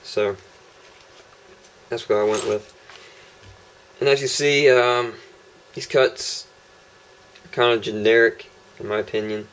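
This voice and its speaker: A man's voice: lowish voice, steady tone, slow pace, long pauses, a bit bright, slight background noise, low-quality recording. A young man casually demonstrating holster prototypes on camera, rambling with lots of ums and uhs. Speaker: holster maker showing prototypes. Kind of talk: casual product demo vlog. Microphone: camera mic on a tripod.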